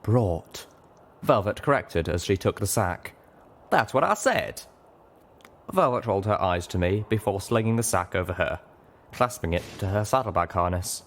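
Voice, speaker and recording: A man's voice: low-pitched, fairly quick. A man reading a scripted first-person story as an audiobook narrator.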